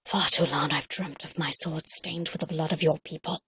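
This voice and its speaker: A woman's voice: low voice, steady tone, moderate pace, breathy edge. A woman voicing a torn vengeful elf warrior. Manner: hushed, often whispered. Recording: dull lo-fi recording.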